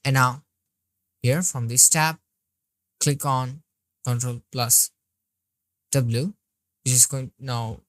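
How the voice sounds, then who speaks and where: Very deep-voiced woman, slow tutorial narration. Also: no room sound. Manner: step-by-step instructing, narrating each click. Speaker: software tutorial instructor. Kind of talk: screen-recorded tutorial walkthrough.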